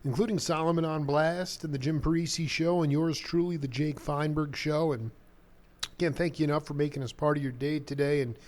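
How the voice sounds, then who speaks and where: A man's voice: fairly high pitch, fairly quick. A man hosting a live radio talk show, rambling easily and calling musicians "cats" as he introduces and questions a guest. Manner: relaxed, rambling, jazz slang like "cat". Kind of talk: loose conversational radio interview.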